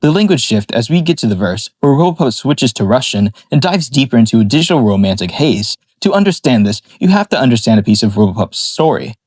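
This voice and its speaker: A man reading a scripted analysis of a song in a measured, explanatory way. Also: medium pitch, very animated, a little mellow, breathy edge, dry recording. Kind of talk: scripted narration.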